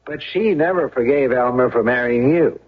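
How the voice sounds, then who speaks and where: A man plays an insistent, practical American friend in an old radio drama. His husky voice sits at a medium pitch, pressing each point emphatically and repeating himself. The sound is dull, like an old broadcast. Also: moderate pace, lightly acted. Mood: urgent, persuasive. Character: practical, wealthy, insistent loyal male friend of accused man.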